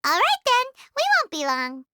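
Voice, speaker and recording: A woman voicing a tiny, excitable, chatty fairy companion in a video game, squeaky and childlike, speaking in exclamations. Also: normal speed, dry recording, clean studio sound, heavily acted. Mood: bubbly, curious. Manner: exclamatory, refers to self by name.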